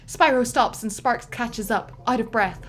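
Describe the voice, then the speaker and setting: A woman reads a script's stage directions aloud, in a slightly raised voice. Her delivery is lively and dramatic, and she sounds like she is enjoying herself. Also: a little high, measured pace, soft background music. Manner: lively, dramatic narration with laughter. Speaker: narrator.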